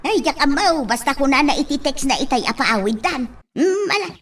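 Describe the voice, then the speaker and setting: A woman acting in an amateur radio drama, talking in quick exclamations. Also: high voice, expressive, slight background noise.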